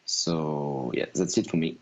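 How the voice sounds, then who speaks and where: A man on a panel explaining his company's work informally, halting often as he searches for words. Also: very deep voice, steady tone, husky, muffled recording.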